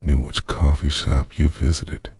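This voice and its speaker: A man playing an obsessive, remorseful vampire boyfriend in an intimate ASMR roleplay, half-whispering a slow confession in a gravelly voice. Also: extremely low pitch, fairly even intonation, a little mellow, no echo, studio quality. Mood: dark confession.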